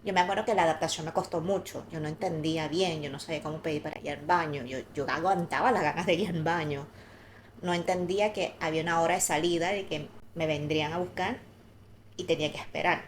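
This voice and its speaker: A woman co-hosting a podcast, telling personal stories candidly in a relaxed chatty way. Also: deep voice, quick pace, slight background noise. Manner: candid storytelling with light laughter. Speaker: podcast co-host. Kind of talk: casual conversational podcast chat.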